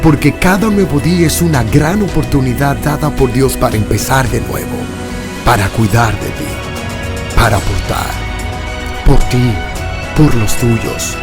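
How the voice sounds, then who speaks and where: A male announcer with a medium-pitched voice reads a sentimental commercial script. Music plays clearly behind him. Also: a little slow. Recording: voice over clear music bed.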